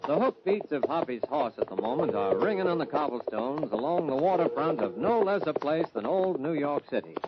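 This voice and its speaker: A man's voice: on the high side, heavy background noise. A man with an American accent announcing and narrating a vintage radio drama in a grand, theatrical style. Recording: muffled vintage radio recording. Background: loud music.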